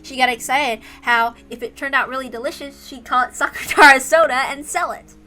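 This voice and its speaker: A woman narrates a visual novel in a fairly high, bright voice. She reads the scripted story aloud, with faint music behind her. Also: brisk.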